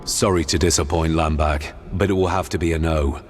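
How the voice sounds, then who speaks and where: An actor playing a determined human hero who talks bluntly and wryly. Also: male voice, very deep voice, steady tone, fairly quick, breathy edge, soft background music. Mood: defiant. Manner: blunt, wry, defiant. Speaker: game protagonist.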